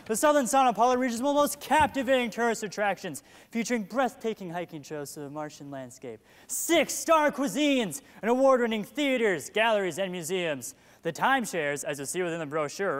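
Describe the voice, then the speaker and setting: An actor playing a relentlessly upbeat, pushy salesman of the future in a comic stage play, full of glib patter. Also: high-pitched. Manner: glib sales patter, talks over objections. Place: theater stage at a play festival. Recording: echoey stage hall, noticeable hiss.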